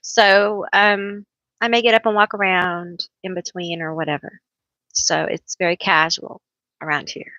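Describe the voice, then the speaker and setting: A woman reading tarot on a relaxed live stream, with a bright, forceful voice and an unhurried pace. She chats loosely, laughs a lot and pokes fun at herself. The sound is dry and a little dull, like lo-fi stream audio. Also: fairly low pitch, no room sound. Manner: chatty, laughs often, self-teasing; calls herself loud, a yeller. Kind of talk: casual live-stream chat.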